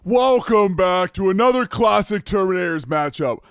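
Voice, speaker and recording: A man's voice: sits high, expressive, normal speed, clear, resonant tone, no room sound, studio quality. A man hosting joking play-by-play commentary of an amateur hockey game, rambling and laughing at himself. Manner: rambling, laughs at himself, keeps saying the co-host's name. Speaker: play-by-play host.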